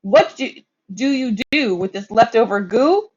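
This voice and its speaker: Storybook creature voiced by a reader: old, indignant guardian of trees, croaky complaints, swooping pitch, lo-fi sound. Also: animated, measured pace, darkish tone. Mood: scolding. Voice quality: coughing, croaky. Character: small gruff scolding old creature who guards trees.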